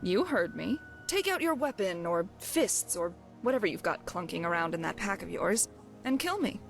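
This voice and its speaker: A woman's voice: mid-range voice, sing-song, moderate pace, creaky. Actress as female rogue companion for fantasy game: brash, wry, cocky, theatrical delivery, music underneath. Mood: insistent goading. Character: brash, wry female thief, undying adventurer. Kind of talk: voiced game dialogue.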